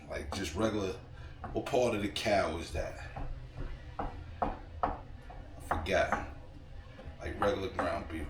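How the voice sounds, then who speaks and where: A man with a New York accent and a slightly hoarse, froggy voice, chatting casually to viewers while he cooks in his home kitchen. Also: mid-range voice. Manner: laid-back slangy chatter to viewers. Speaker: home cooking vlogger. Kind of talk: casual cooking vlog talk. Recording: echoey room with background noise.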